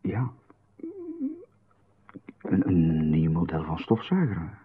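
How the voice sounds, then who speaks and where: A well-known host who stays courteous while hesitating nervously, his husky Flemish voice sitting low. The sound is narrow and phone-like, like an old radio broadcast. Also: expressive, slow, exaggerated voice. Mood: polite uneasy. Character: Babbert, well-known man, polite and uneasy host. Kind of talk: 1990s radio drama.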